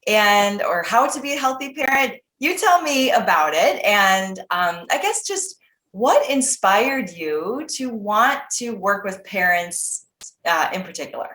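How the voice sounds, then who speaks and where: A woman's voice: medium pitch, big pitch swings, unhurried, a bit bright. Upbeat American woman hosting, roomy sound. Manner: upbeat, enthusiastic. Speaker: podcast host. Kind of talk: casual interview chat.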